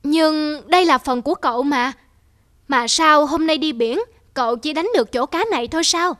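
A woman voicing a weary old male traveler, gentle and courteous, in a cartoon folk tale. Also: sits high, moderate pace.

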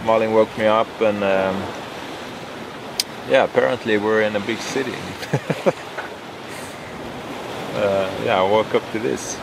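A man's voice: lowish voice, takes it slowly, gravelly, pauses often. A Swedish man in his forties chatting casually about the sail from a boat cockpit at sea, filmed on a close camera. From Sweden. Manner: relaxed, matter-of-fact, sailing jargon. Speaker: sailing vlogger. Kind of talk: casual chat. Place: cockpit of a sailboat at sea, outdoors. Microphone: handheld camera close to face. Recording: wind and wave noise outdoors.

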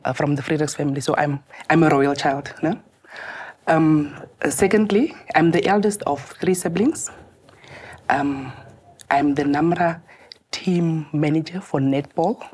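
Loud-voiced woman, low and husky. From Namibia. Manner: lively, jokey, with hesitant restarts. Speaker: tax officer guest. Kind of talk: conversational interview answers. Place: TV studio, seated.

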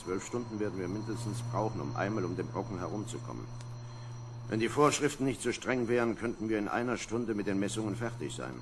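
Man as a low-voiced gruff space lieutenant. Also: brisk, background noise, close to an everyday voice. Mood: weary, dry. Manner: commanding, dryly grumbling. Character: gruff, weary male lieutenant leading an asteroid survey. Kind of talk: vintage German sci-fi radio play acting.

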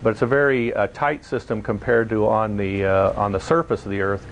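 Casting: man, lowish voice, volunteer leader giving a conversational, slightly halting interview, light background noise. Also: steady tone. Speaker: volunteer caving project leader, interview guest.

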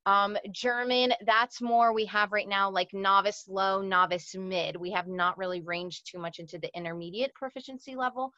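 A woman's voice: mid-range voice, steady tone, normal speed, hardly any pauses, sharp tone, no room sound. Dull-sounding video-call woman presenting informally. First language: Portuguese. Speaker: presenter, language educator. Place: small indoor room. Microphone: computer mic on a video call.

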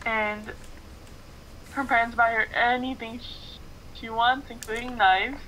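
A woman's voice: on the high side, steady tone, measured pace, pushed and bright. A woman chatting casually as a friend reacting along on an online voice call. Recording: slightly noisy voice call.

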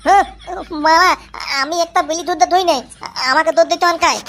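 A woman's voice: very high-pitched, a little fast, fairly bright tone, a little hiss or hum. A woman voicing a cheeky young boy in a comedy cartoon, whiny and protesting. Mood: whiny denial. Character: cheeky young boy stubbornly denying blame.

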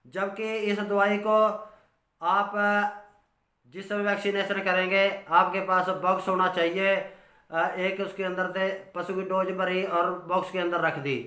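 A man, a dairy farmer giving informal advice from notes in halting, explanatory phrases. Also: high-pitched, little pitch movement, sharp tone. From Haryana, India. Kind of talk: informal instructional talk from notes. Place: small curtained room at home. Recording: roomy indoor echo.

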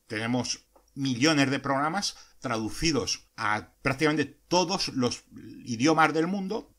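A man with a Spain Spanish accent hosting a podcast, explaining ideas earnestly with frequent pauses and hesitations. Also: medium pitch, pushed and bright. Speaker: podcast host.

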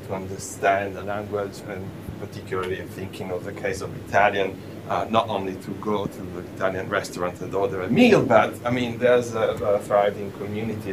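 Low-voiced man lecturing in a noisy room. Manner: questions the audience, hesitant, lots of "uh". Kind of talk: interactive welcome talk.

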